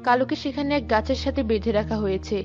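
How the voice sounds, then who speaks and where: A woman's voice: on the high side, fast talker, highs cut off. A woman narrating a scripted ghost story as storyteller, with music behind her.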